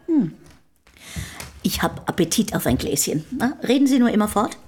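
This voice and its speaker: An actress playing a guarded, down-to-earth middle-aged housekeeper of the early 1800s in a radio play, speaking plainly and tersely. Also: female voice, mid-range voice, very animated, normal speed, darkish tone, a little hiss or hum, natural voice. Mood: wary, sceptical. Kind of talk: German radio play acting.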